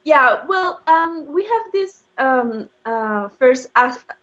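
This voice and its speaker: Woman, slow hesitant answers with lots of ums, muffled video call sound. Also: sits high, lively intonation, a bit bright. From Mexico. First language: Spanish. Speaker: guest. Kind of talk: casual interview answers. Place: home. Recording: dull lo-fi video call audio.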